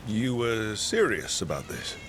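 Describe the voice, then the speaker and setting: Easygoing young adventurer, rain behind. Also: male voice, lowish voice, very animated, unhurried, a bit bright, slight background noise. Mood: teasing, curious. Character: loyal, easygoing young male adventurer, gently teasing. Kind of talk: video game voice acting.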